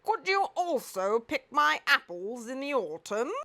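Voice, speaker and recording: A woman voicing a blustering, bossy but kindly old Duke in a children's storybook, loud and imperious. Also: high voice, big pitch swings, a little slow, pitched above the performer's own voice, full character voice. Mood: pleased.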